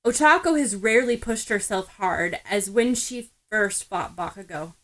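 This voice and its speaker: A woman's voice: mid-range voice, lively intonation, normal speed, fairly bright tone. Female narrator, mid-range voice, reading prose fiction for a podfic. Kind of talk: scripted story narration.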